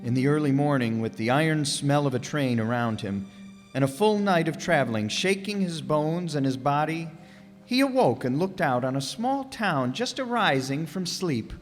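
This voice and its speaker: Guy narrating a radio play, lots of pitch movement, echoey hall, some music. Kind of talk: dramatic scripted storytelling for a live radio play.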